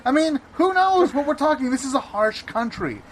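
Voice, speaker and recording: A man's voice: very high voice, big pitch swings, normal speed, a little hiss or hum. A man playing a blustering, exasperated debater in an improvised audio comedy, insistently repeating himself and cutting in. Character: blustering, overwrought male debater making absurd arguments.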